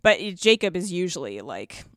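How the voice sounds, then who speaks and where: A woman's voice: on the low side, sing-song, normal speed, fairly bright tone, no echo, studio quality. A woman chatting casually as a podcast guest, speaking effusively and with emphasis about what she likes. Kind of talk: casual chat.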